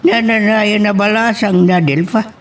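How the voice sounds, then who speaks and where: A man's voice: sits high, steady tone, a little slow. A man with an Ilocano accent playing a father advising his grown child in an amateur audio drama. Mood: grave, concerned. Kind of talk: amateur Ilocano radio-style drama acting.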